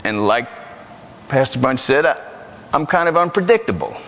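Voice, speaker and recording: A man with a New York accent preaching a lively, joking sermon in a church. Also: mid-range voice, normal speed, gravelly, slight background noise. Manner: animated, joking, repetitive. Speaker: guest preacher. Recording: muffled church hall recording.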